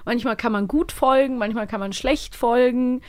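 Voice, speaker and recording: High-voiced woman co-hosting a podcast. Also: moderate pace, dry recording, clean studio sound. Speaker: podcast co-host. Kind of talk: casual banter about audio dramas.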